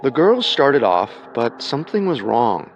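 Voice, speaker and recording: A man narrating a scripted true-crime story with measured, dramatic storytelling. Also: medium pitch, big pitch swings, highs cut off, soft background music.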